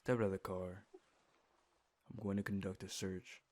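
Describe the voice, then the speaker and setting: A man playing a predatory, bullying police officer in a fan dub, speaking deliberately with menacing condescension. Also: sits low, flat delivery, a little mellow, no room sound, clean studio sound, natural voice. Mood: cold, intimidating. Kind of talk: fan-dub dramatic acting.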